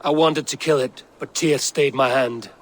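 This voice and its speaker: An actor playing a wrathful Norse god in a video game, speaking in an imperious, suspicious, declamatory way. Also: male voice, mid-range voice, fairly even intonation, normal speed, natural voice. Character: wrathful, commanding Norse god, male wanderer and warrior. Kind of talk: video game cutscene acting.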